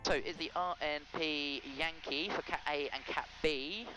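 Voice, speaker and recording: A man's voice: fairly high pitch, steady tone, measured pace, music in the background, poor audio. A man in his thirties on an aviation headset in a small plane, briefing procedures in methodical, clipped checklist phrases. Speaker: pilot. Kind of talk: procedural cockpit briefing between pilots. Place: small light aircraft cockpit in flight. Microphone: aviation headset boom mic.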